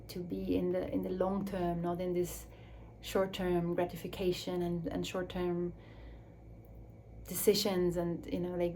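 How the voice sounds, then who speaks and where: A woman speaking English as a second language in a slow, searching reflective talk, with restarts and soft drops to a near whisper. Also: fairly low pitch, a little hiss or hum. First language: Swiss German or Dutch. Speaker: speaker on her own channel.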